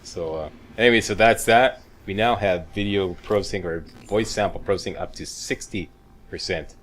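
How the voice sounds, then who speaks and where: A man with a very deep voice narrates a game walkthrough. He is casual and laid-back, handing out short step-by-step directions, with game ambience clearly audible behind him. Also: moderate pace, fairly bright tone. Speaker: gaming video host. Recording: clear background game noise. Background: video game ambience.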